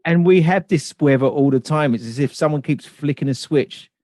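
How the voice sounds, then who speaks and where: A man's voice: fairly high pitch, normal speed, darkish tone, dry recording. A man hosting a podcast interview from home over a video call, friendly and chatty with loose phrasing. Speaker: podcast host. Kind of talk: casual interview chat. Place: home in London. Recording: dry close sound.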